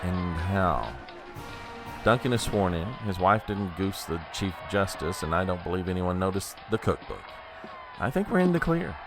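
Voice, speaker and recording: An actor playing a fussy, anxious, long-suffering presidential aide in a comedic audio drama. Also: male voice, low-pitched, lively intonation, normal speed, music in the background, a crowd in the background. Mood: relieved. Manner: fussy, deferential, hesitant.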